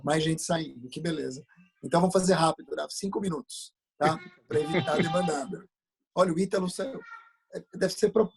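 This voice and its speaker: A man with a husky voice, hosting an informal comic interview on a video call in a teasing, interrupting manner. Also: fairly high pitch, darkish tone, low-quality recording.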